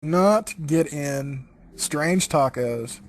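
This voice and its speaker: A man's voice: a little high, extremely slow. A man giving casual, joking commentary as part of a group listening to a reading.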